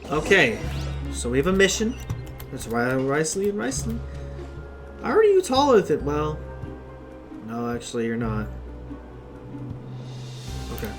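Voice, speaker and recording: A woman gives casual gameplay commentary in a lowish voice that swings around a lot. She is blunt and slangy, with game music clearly behind her. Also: huge pitch swings, measured pace, pauses often. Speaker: gameplay streamer.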